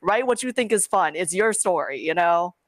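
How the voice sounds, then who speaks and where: A woman's voice: fairly low pitch, expressive, normal speed, no room sound. A woman webcomic creator talking informally and emphatically in a casual panel discussion, giving her opinions.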